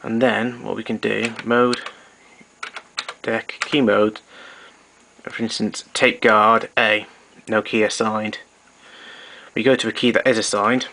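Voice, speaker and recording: Low, even-voiced man over noticeable hiss. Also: slow pace, creaky, pauses often. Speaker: tape recorder repairer giving a demonstration. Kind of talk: informal technical demonstration. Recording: noisy amateur recording with clicks.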